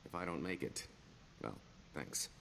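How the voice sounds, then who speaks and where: An actor playing a roguish, glib smuggler and con artist in a video game, with a wry, theatrical delivery. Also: male voice, rumbling low, animated, unhurried, creaky, bright, forceful tone, a little hiss or hum, heavily acted. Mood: wistful, resigned. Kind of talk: video game character dialogue.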